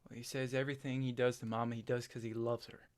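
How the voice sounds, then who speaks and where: A man playing a haunted, self-doubting farm boy in a stage play, halting and plain-spoken. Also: medium pitch, little pitch movement, natural voice. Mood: defensive.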